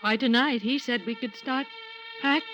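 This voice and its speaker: A woman playing a shaken, wistful lover who was duped, halting and faltering, in 1950s radio drama style. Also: fairly high pitch, a bit bright, soft background music, close to an everyday voice. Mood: wistful, resigned. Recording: dull old radio recording.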